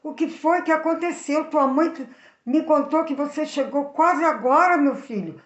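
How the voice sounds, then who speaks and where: Mulher fazendo um pai bravo e severo, voz alta. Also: female voice, sits high, moderate pace, voice pushed up, lightly acted. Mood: stern questioning. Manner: loud, forceful interrogating. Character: stern, angry father demanding answers from his young son. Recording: echoey room, slightly dull sound.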